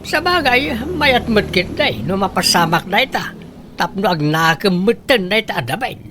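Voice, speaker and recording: A woman's voice: medium pitch, animated, measured pace, natural voice. A woman playing a sensible old grandfather who reasons and chides in a comedy radio drama. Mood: reasoning. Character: old grandfather, sensible, chiding, plain-spoken husband.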